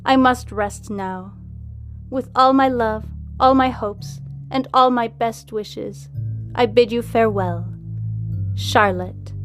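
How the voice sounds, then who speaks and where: A narrator, a woman, plays an ailing woman of formal, earnest words, writing her regretful farewell in a storybook. The voice sits fairly high over faint music. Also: slow. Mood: weary farewell.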